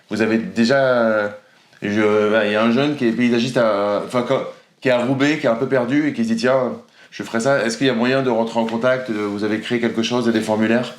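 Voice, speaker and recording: A man's voice: medium pitch, fast talker. A man hosting a casual podcast interview, asking rambling and hesitant questions with frequent stammers. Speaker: podcast host. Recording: roomy echo.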